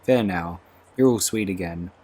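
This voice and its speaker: A man's voice: low voice, moderate pace, a little mellow. A man voicing a fussing, devout Orkney woman servant with a lilt, motherly and proper. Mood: tender.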